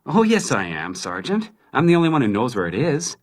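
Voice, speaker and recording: An actor playing an eager, cocky young newspaper writer in a period radio drama, self-assured and insistent. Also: male voice, medium pitch, huge pitch swings, a little fast. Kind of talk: 1920s detective radio drama acting.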